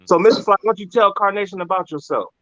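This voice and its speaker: A man's voice: high voice, fast, a bit bright, muffled recording. A man hosting a casual podcast, talking in an upbeat, hyped way, calling people "man" and "bro". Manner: hype, upbeat, calls everyone "man" and "bro". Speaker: podcast host.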